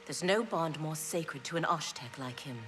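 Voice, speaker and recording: A woman's voice: sits low, moderate pace, a bit bright, faint music behind. A woman playing a seasoned warrior and royal adviser in a video game, assured and direct. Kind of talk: video game cutscene acting.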